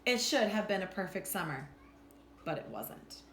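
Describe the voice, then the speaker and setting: A woman reading a children's storybook aloud in an expressive, deliberate storytelling manner. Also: fairly low pitch. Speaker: teacher reading a picture book aloud. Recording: roomy echo with faint background noise.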